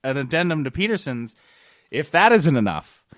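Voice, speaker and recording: A man's voice: fairly high pitch, very animated, moderate pace, a bit bright, dry recording. Close, dry-sounding man chatting casually. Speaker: podcast co-host. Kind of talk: casual gaming chat.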